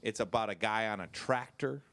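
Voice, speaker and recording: A man's voice: lowish voice, fairly quick, fairly bright tone, slight background noise. A man in casual comedic banter, speaking bluntly with swearing and self-deprecation.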